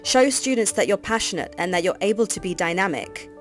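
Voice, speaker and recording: A woman giving scripted narration for a teacher training course, reading in an even, measured way. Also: mid-range voice. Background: background music.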